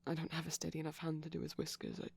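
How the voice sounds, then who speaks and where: A man talks in a hushed ASMR murmur that often drops to a whisper. His voice is breathy and husky with plenty of creak. He sounds gentle and shy, rambling hesitantly close to the mic. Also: fairly high pitch, monotone, rapid-fire. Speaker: ASMR performer. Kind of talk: soft-spoken ASMR roleplay ramble.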